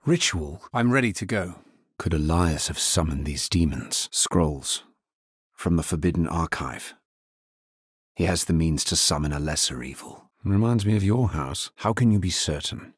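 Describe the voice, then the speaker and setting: Fantasy game necromancer hero: grave and understated, husky tone, very dry close sound. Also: male voice, deep voice, dry recording, exaggerated voice. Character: grave, world-weary male necromancer hero. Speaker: video game voice actor. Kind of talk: dramatic fantasy game dialogue lines.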